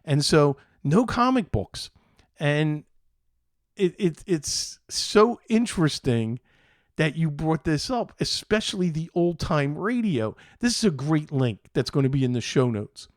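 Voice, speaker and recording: A middle-aged man from Philadelphia with an American accent, hosting a casual podcast in a halting, meandering, enthusiastic way. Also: fairly high pitch, expressive, no echo, clean studio sound. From Philadelphia, United States. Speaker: show host.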